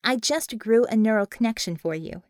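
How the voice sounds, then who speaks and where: A woman's voice: on the high side, animated, moderate pace, no room sound, lightly acted. A woman voicing a female leader of heroes in an audiobook, authoritative and dryly humoured. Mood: commanding. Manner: dry, authoritative.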